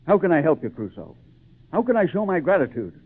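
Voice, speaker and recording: A dignified sea captain talks with halting theatrical wonder and wide swings of pitch. The sound is a narrow, tinny old radio broadcast. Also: male voice, sing-song. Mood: obliging. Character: grateful, dignified sailing-ship captain, full of wonder. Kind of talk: 1940s radio drama acting.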